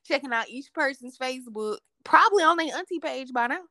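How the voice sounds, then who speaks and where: A playful woman co-hosting a casual podcast. Her voice sits a little high, and she laughs often through slangy, easygoing talk. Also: animated, fairly quick, pushed and bright, no room sound, clean studio sound. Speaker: podcast co-host. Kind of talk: casual chat.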